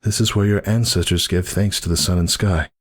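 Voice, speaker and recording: Man voicing a fierce pony mare, pitched high. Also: fairly even intonation, brisk, close to an everyday voice. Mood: tender, hushed. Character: young pegasus mare in labour, fierce and defiant.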